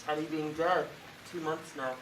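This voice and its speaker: A young woman acting a deadpan, evasive, dim-witted husband in a high school stage comedy, recorded on an echoey stage. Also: moderate pace, close to an everyday voice. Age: teen. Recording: echoey noisy stage, distant mic.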